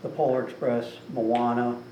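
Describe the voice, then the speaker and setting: A man's voice: medium pitch, flat delivery, moderate pace, muffled recording. Rambling man in an echoey room. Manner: rambling, filler-heavy, with frequent "you know". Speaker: author and guest speaker. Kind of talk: casual conversation at a meeting. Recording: distant mic in an echoey room with some noise.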